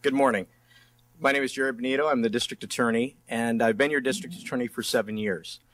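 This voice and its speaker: A man's voice: medium pitch, fairly even intonation, quick pace, fairly bright tone. A middle-aged man speaking as a candidate and district attorney in a debate, plain-spoken and often restarting his phrases. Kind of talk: political debate speech.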